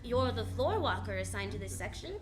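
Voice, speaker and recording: A woman's voice: medium pitch, animated, fairly quick, lightly acted. A woman playing a wisecracking female private detective in a 1940s-style radio drama, with slangy, wry delivery. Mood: sassy.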